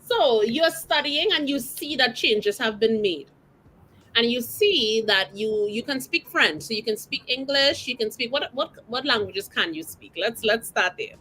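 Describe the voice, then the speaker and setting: High-pitched, bubbly woman interviewer. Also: expressive, unhurried, bright, forceful tone, a little hiss or hum, low-quality recording. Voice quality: bubbly and enthusiastic. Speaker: interviewer, host. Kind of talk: lively interview questions.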